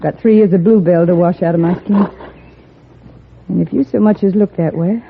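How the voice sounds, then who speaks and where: A woman's voice: on the low side, fairly even intonation, moderate pace, creaky, slight background noise. An American actress playing a tough, proud young frontier woman in a 1950s radio western, with blunt, folksy speech. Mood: defiant. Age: young adult. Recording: narrow-band, muffled 1950s radio recording.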